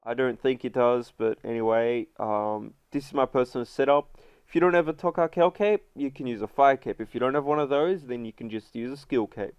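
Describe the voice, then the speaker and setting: A congested man with a runny nose, chatting through a casual game guide voice-over and filling pauses with ums and uhs. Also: mid-range voice, smooth, no room sound, dull audio. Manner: chatty, many fillers. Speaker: gaming video host.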